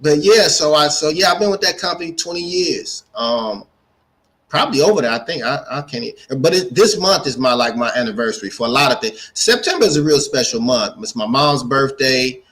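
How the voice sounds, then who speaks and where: American guy late 40s, mid pitched voice, casual interview storytelling, repeats himself for emphasis. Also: lively intonation, fairly quick, fairly bright tone. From Gary, Indiana, United States. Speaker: guest, entrepreneur and speaker.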